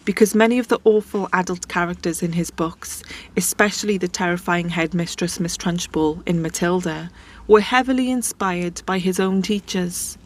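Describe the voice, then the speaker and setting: A woman hosting, telling a life story in a casual, chatty way with conversational asides. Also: fairly low pitch. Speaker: host.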